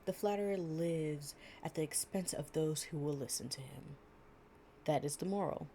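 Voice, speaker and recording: A woman's voice: low voice, normal speed, mellow, slight background noise. A woman performing a storytime audio roleplay, reading fables and chatting informally and playfully. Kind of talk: gentle storytime reading with improvised casual chat.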